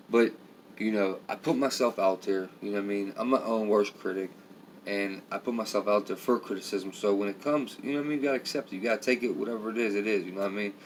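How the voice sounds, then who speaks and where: Raspy, tired man talking low. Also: a little hiss or hum. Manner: rambling, heavy with filler phrases. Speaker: vlogger. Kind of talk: casual talk to viewers on a live stream.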